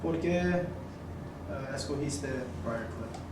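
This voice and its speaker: Slow-paced interviewer in a very echoey room. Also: medium pitch. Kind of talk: informal question-and-answer interview. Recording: very echoey room, distant mic, noisy.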